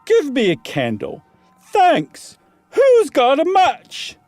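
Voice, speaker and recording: A man voicing a pompous, grandly theatrical gentleman in an exaggerated, drawn-out reading of a comic ghost story. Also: very high-pitched, huge pitch swings, dry recording, soft background music, pitched above the performer's own voice, full character voice. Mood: dramatic.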